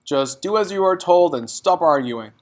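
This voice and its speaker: A man's voice: sits high, expressive, normal speed, voice pushed up. A man voicing a storybook father who is irritable, curt and domineering, snapping impatiently. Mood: snapping, angry.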